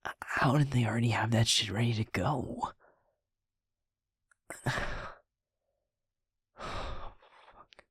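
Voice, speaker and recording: A man playing a sweet, shaken young werewolf boyfriend in an intimate audio roleplay, halting and stammering, with a breathy, husky voice. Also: low-pitched, no room sound, full character voice. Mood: disbelieving, bitter. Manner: halting, hushed, stammering, sighing.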